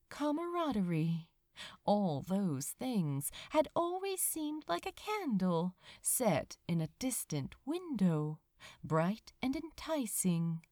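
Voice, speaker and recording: Female story reader, lively swooping intonation, tender and deliberate, dry close sound. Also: mid-range voice, no room sound. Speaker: audiobook-style fan fiction reader. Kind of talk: expressive scripted story narration.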